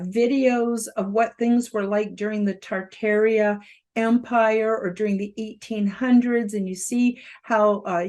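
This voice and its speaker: An outspoken woman around seventy speaks in a loud, carrying voice at a middling pitch. She talks casually, with frequent fillers and emphatic rises when she makes a point. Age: about 70. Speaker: host giving a self-help presentation. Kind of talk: casual spoken presentation to camera.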